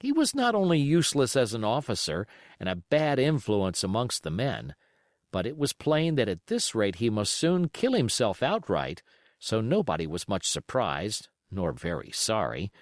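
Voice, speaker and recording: Expressive male audiobook narrator, widely varied intonation. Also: fairly low pitch, no room sound, studio quality. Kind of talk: scripted literary narration.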